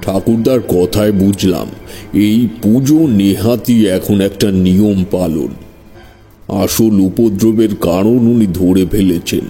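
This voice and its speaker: Male narrator for audio horror, low, dark and unhurried, music underneath. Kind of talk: dramatic scripted horror story narration.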